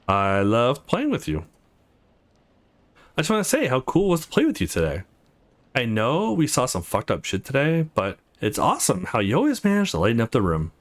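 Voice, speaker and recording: Guy doing an upbeat young game hero, teasing n coaxing, super lively pitch. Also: moderate pace. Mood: sincere. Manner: cheerful, playful, coaxing.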